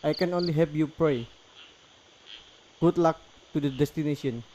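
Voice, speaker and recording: A man with an Indonesian accent playing a concerned older local man who gives solemn warnings in halting English for a student radio drama. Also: fairly high pitch, warm tone, lightly acted, poor audio. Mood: earnest warning. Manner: halting, non-native English phrasing.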